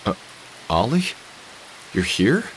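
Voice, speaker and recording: A man's voice: fairly low pitch, very animated, slow, a little hiss or hum, low-quality recording. A man playing a weary, skeptical middle-aged protagonist nursing heartbreak in a visual novel game, speaking in a hesitant, conversational way. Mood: subdued.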